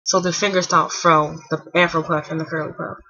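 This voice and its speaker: A young woman in her twenties talks to camera from home in a bright, slightly husky voice. She rambles loosely, full of fillers and restarts, and keeps things quiet so a sleeping child won't wake. The dry, dull sound of old webcam audio frames it all. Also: low voice, flat delivery, brisk, no room sound. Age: 20s. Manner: speaking softly to keep a napping child asleep. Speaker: hair vlogger. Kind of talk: casual chat to camera.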